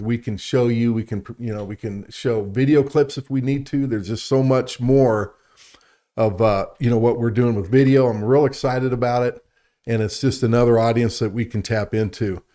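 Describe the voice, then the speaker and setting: A man's voice: medium pitch, moderate pace, warm tone. A man co-hosting a conversational science and faith talk show, speaking in a chatty, enthusiastic way. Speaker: radio show co-host.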